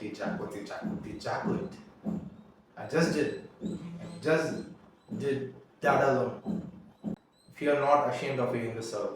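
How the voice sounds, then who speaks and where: A young man whose first language is Tamil, telling a halting, earnest confessional story and often restarting his words. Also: medium pitch, slight background noise. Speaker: vlogger. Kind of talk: confessional monologue. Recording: echoey room, mic at a distance.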